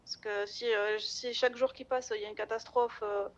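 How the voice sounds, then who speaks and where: A woman acts out a caring, slightly scatterbrained woman in an online roleplay game. Her voice is fairly high, heard through dull voice-chat sound. She talks informally, restarting sentences and poking fun at herself. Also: flat delivery, moderate pace, lightly acted. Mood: self-deprecating, playful. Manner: informal, hesitant with frequent restarts. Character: chatty, caring, self-deprecating woman, playfully scatterbrained. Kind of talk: improvised in-character roleplay chat.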